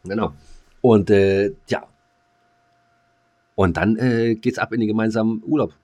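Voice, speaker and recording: Low-voiced man in rambling banter. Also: unhurried, warm tone. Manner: rambling, slangy, jokey. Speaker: podcast co-host. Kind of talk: casual bantering chat.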